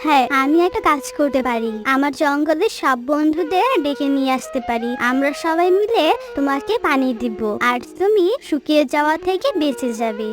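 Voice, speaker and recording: A woman's voice: high-pitched, expressive, normal speed, few pauses, over music. A woman voicing a small, kind-hearted, earnest female bird in a children's cartoon. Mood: earnest, pleading.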